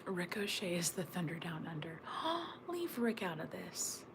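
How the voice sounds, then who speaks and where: A woman hosting a casual morning livestream from home, talking in a hushed half-whisper while others sleep, rambling and joking as she reads the chat. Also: on the low side, big pitch swings, unhurried, gravelly, noisy background. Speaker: livestream host reading chat. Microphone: phone or camera on a tripod.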